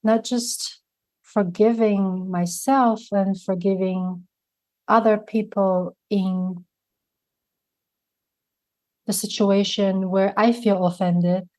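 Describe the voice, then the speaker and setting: A woman with a Mandarin accent, a native Mandarin speaker, talking slowly and thoughtfully as a guest in an interview. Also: medium pitch, steady tone, a little mellow, poor audio. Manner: slow, thoughtful, searching for words. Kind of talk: reflective interview conversation.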